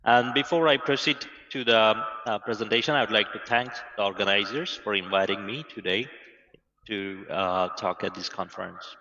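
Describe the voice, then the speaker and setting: A man's voice: lowish voice, normal speed, big-room sound, lo-fi sound. A man from Bangladesh, giving a remote conference presentation over a video call. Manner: steady, plain explaining with occasional "uh". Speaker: guest academic presenter.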